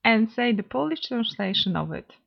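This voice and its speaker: Gravelly-voiced woman teaching a lesson. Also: fairly high pitch, animated. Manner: clear, measured, instructive. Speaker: language teacher, podcast co-host. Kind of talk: scripted beginner language lesson. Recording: slightly dull lo-fi recording.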